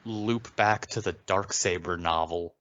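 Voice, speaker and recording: A man with a low voice co-hosts a casual podcast. He talks in an informal, conversational way. The recording is a bit dull and lo-fi. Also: a little slow, fairly bright tone. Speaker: podcast co-host. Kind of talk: casual chat.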